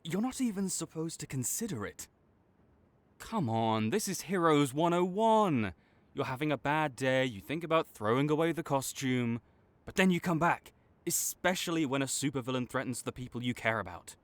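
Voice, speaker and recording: A man's voice: on the high side, animated, normal speed, no room sound, natural voice. A man acting a cocky, teasing but secretly caring supervillain rival in a dramatic audio roleplay, bantering and exasperated. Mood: flustered. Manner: bantering, exasperated, faltering into awkward concern.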